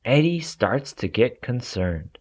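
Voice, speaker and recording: A man reads a children's storybook aloud with lively, swooping pitch. He takes his time over the words, and his voice has a slightly husky edge. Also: slow pace. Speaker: storybook narrator.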